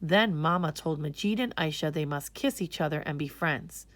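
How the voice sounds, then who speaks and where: A woman's voice: deep voice, normal speed, fairly bright tone, no room sound. A woman reading a children's storybook aloud in a scripted narration style. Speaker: storybook reader.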